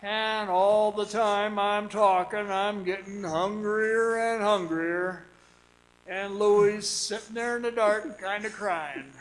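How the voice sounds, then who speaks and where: A haunted miner played by a man recounts his ordeal in a radio drama. His voice is high for a man and he takes his time, deliberate and halting. He sounds folksy and guarded. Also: fairly even intonation, a bit bright, poor audio. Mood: grim, uneasy.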